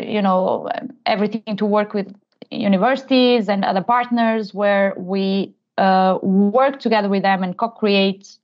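A woman from Portugal, a native Portuguese speaker with a Portuguese accent, answering interview questions in a conversational, halting way. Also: medium pitch, muffled recording. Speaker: podcast guest, tech transfer professional.

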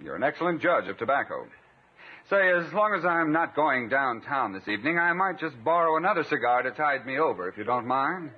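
A man's voice: mid-range voice, very animated, fairly quick, slightly rough. A man playing a self-important, ingratiating freeloader brother in a 1950s radio comedy. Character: smooth-talking freeloading brother, self-important, flattering moocher. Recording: thin, narrow 1950s radio recording.

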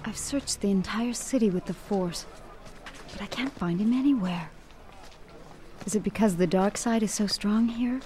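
A woman's voice: medium pitch, moderate pace, pauses often, dark tone, a little hiss or hum, close to an everyday voice. An actress playing a worried young Jedi woman in an audio drama, speaking in an earnest, musing, polite way. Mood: anxious, searching.

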